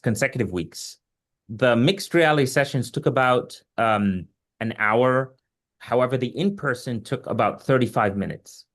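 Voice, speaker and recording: An earnest man from Egypt answers questions as an invited surgical expert. He explains step by step and stresses his points, often with practical examples. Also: medium pitch. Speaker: surgeon and invited webinar speaker.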